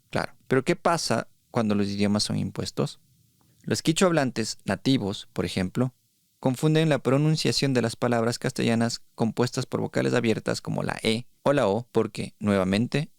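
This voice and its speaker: A man giving scripted explanatory narration in a clear, didactic way. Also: mid-range voice, no echo, studio quality.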